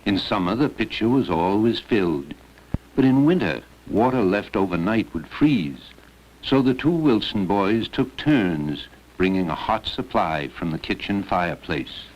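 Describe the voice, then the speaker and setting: A man's voice: fairly low pitch, lively intonation, measured pace, a little hiss or hum. A man reading scripted narration for an educational film. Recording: dull lo-fi old educational film.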